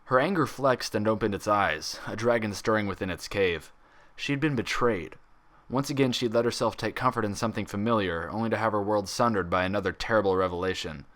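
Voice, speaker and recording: A man reading fan fiction aloud as narrator, with dramatic, expressive scripted delivery. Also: fairly low pitch.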